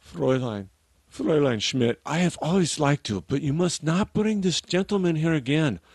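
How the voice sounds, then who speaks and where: An actor playing an anxious, evasive Viennese porter in a radio drama. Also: male voice, a little high, animated, normal speed, darkish tone, slightly rough, no echo. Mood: anxious, protesting. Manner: nervous, evasive, protesting. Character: anxious Viennese porter, reluctant male witness.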